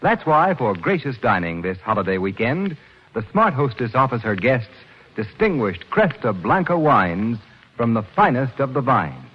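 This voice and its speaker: A man's voice: medium pitch, very animated, moderate pace, husky. A man reading a 1940s radio commercial as announcer, stately, polished and emphatic. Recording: muffled old radio recording with music.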